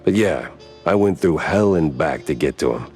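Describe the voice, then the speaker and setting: An actor playing a weary, wisecracking mouse private eye in a noir cartoon game, with a sardonic, slangy delivery. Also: male voice, rumbling low, expressive, moderate pace, darkish tone, soft background music. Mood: dry, uneasy. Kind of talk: 1930s noir cartoon detective acting.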